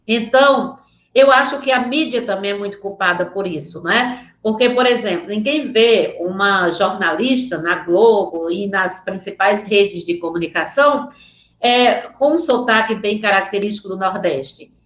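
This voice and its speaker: A woman's voice: mid-range voice, normal speed, a bit bright, reverberant. A woman teaching a Portuguese class online in a Northeastern Brazilian accent, speaking earnestly and often checking in with her students. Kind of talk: online class lecture with slides.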